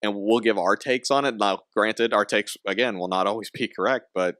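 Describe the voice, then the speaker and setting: A man's voice: on the low side, brisk, a bit bright, smooth, no echo, clean studio sound. A young man hosting a casual podcast, rambling with frequent laughs and restarts. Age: young adult. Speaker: podcast host.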